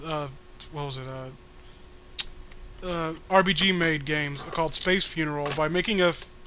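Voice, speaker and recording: Bright-voiced man giving sarcastic commentary. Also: on the high side, a little hiss or hum. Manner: sarcastic, rambling, foul-mouthed. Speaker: co-host reading a webcomic aloud with commentary. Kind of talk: casual mocking commentary.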